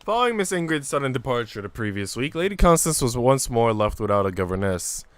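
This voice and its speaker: A man with a smooth, clear voice, livestreaming as he reads a visual novel aloud and chats casually. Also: mid-range voice, sing-song, a little fast, no echo. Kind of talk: livestream narration and casual chat.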